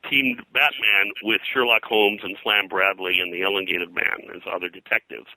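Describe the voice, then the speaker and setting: An older man with a low voice recalls his career in a conversational interview. He rambles and halts, doubling back on words. The sound is sharp and forceful, captured very dry and close. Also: fairly even intonation, dry recording, poor audio. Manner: rambling, halting, repeats words. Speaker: interview guest, pop culture historian and pulp publisher.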